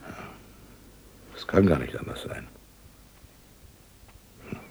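Deep gravelly old miller, husky, gruff and sly, radio play. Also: male voice, expressive, slow pace, darkish tone, heavily acted. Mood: brooding. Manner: blustering, then brooding and low. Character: rich older village miller, gruff, scheming father. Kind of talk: radio drama acting.

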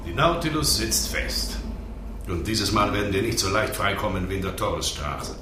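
A man's voice: fairly low pitch, big pitch swings, moderate pace, noisy background. An actor playing a defiant, gloomy, sardonic man aboard a submarine in a radio play, mocking and exclamatory. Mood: bitter, sardonic. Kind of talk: dramatic radio play acting. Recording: roomy echo, loud effects behind the voice.

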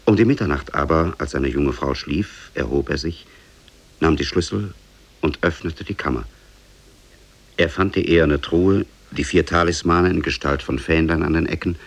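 A man narrating a tale in 1950s radio style, measured, literary and solemn. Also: very deep voice. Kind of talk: scripted storybook narration, 1950s German radio.

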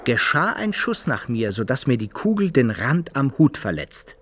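An actor playing an adventurer who recounts his brawls and travels in measured, old-fashioned literary radio drama narration. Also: medium pitch, big pitch swings, slight background noise, close to an everyday voice. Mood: measured recounting.

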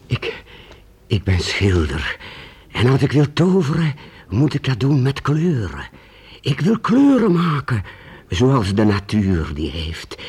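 An actor playing a weary, earnest old master painter in a Dutch radio play, speaking haltingly with repeated words and archaic formal address. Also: male voice, on the low side, a little mellow, slightly rough, exaggerated voice. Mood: passionate, dreamy. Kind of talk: Dutch radio drama acting.

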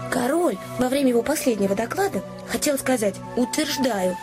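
An actress playing an anxious, kind-hearted young innkeeper's daughter in an audio play, confiding and earnest, telling things with naive expressiveness. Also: female voice, fairly high pitch, lively intonation, fairly quick, over music, lightly acted. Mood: earnest storytelling. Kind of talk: Russian audio play storytelling.

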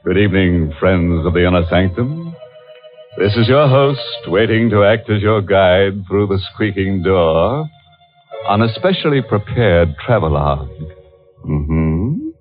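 Deep, ghoulish old-time radio horror host. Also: male voice, lively intonation, unhurried, soft background music. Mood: ghoulish glee. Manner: theatrical, alliterative, tongue-in-cheek macabre. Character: sardonic ghoulish male horror host with mock-polite menace. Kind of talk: 1940s radio mystery hosting. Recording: muffled vintage 1940s radio broadcast.

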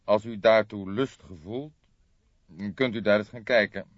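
A man voicing a stern, dogged police detective who questions formally and gruffly in an audiobook. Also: on the low side, unhurried, no echo, pitched above the performer's own voice. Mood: probing. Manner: gruff, drawling, emphatic, formal. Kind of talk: audiobook character voice. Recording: dull lo-fi audiobook recording.

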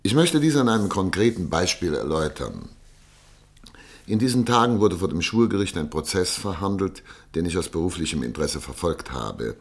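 A self-assured, eloquent judge in a radio drama. His voice is on the low side, and he speaks deliberately, like a practised courtroom orator with a pompous streak. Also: expressive. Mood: genial, deliberate. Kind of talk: German radio play acting.

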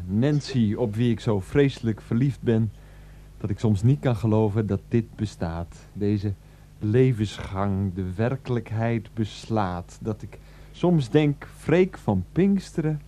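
An actor playing an earnest, besotted husband of 39, a rambling and self-important former teacher, in a satirical radio play. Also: male voice, lowish voice, dark tone, slight background noise. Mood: besotted, marvelling. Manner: rambling, self-important, hesitant, repeating himself.

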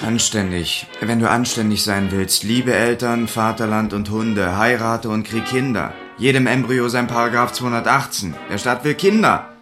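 A man's voice: mid-range voice, moderate pace, a bit bright, faint music behind, natural voice. An actor playing a bitter, sarcastic young socialist man in a radio drama, venomous, mocking and declamatory. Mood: scornful.

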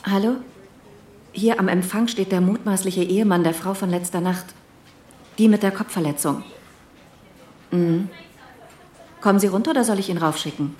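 An actress in a German radio drama playing a brisk, matter-of-fact hospital receptionist. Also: female voice, medium pitch, dark tone, natural voice. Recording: roomy, echoing interior.

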